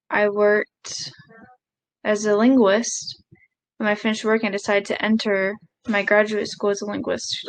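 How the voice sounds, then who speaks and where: A woman voicing a live sign language interpretation of a speech, halting, with pauses and self-corrections. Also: mid-range voice, poor audio.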